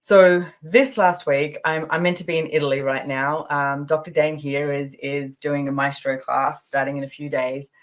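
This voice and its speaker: A woman in her fifties with a low-pitched voice speaks as a podcast host. She talks in a casual, personal storytelling style, chatty and emphatic, often repeating phrases to drive them home. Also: level tone, moderate pace, hardly any pauses. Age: 50s.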